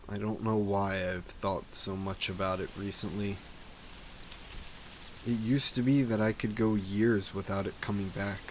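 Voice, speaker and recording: A man voicing a grieving vampire king who talks slowly and with remorse about his past. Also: sits low, slight background noise. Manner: slow, brooding, remorseful. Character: grieving regretful vampire king, former ruler. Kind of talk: audio roleplay narration.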